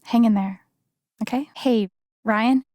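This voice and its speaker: A woman's voice: on the high side, slow, a little mellow, no echo, studio quality. An actress playing an earnest, caring and determined young woman in naturalistic, conversational video game dialogue. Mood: warm, encouraging. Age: young adult.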